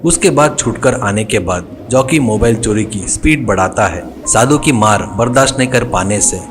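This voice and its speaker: A man reading scripted narration for a cartoon story. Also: medium pitch.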